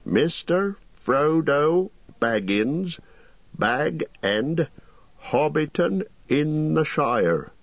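A man voicing a fussy, apologetic middle-aged innkeeper who chatters and rambles, with a husky voice. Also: medium pitch, very animated, measured pace, dry recording, highs cut off, pitched above the performer's own voice, exaggerated voice. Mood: fussy, rambling.

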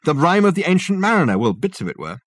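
A man's voice: fairly high pitch, sing-song, fast talker, no room sound, clean studio sound, pitched above the performer's own voice. A man voicing a hesitant, thoughtful, bemused man in an audiobook, musing tentatively.

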